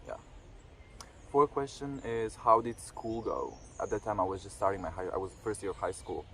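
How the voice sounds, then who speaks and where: A man's voice: on the low side, lively intonation, normal speed, vocal fry, sharp tone, slight background noise. A man of twenty-one talking casually to camera in a reflective vlog, hesitant, with many fillers and restarts. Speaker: vlogger.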